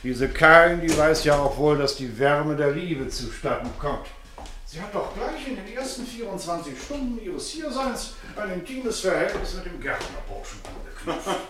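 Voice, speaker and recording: Chatty baron, swoopy highish voice, teasing gossip, roomy radio play sound w music. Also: male voice, big pitch swings, normal speed, a bit bright. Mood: gossipy, teasing. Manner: chatty, chuckling, mock-pompous. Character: jovial, gossipy male baron and courtier, teasing. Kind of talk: German literary radio drama acting.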